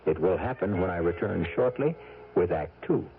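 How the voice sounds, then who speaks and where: A man hosting a 1970s radio mystery with theatrical, measured narration. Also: sits low, lively intonation. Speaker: host. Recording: muffled old radio broadcast, music behind.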